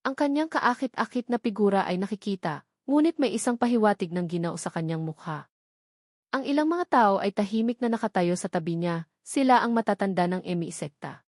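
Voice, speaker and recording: A woman's voice: mid-range voice, expressive, fairly quick, a little mellow, no echo. Woman narrating a novel, dry studio sound. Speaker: audiobook narrator.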